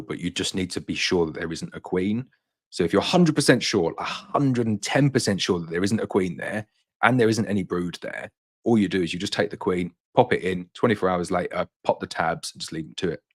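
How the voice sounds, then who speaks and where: A young man hosting a casual live-stream Q&A and answering viewers' questions, his throat a little tired from a long day of talking. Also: on the low side. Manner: reads viewer comments aloud in a brighter voice, then answers plainly.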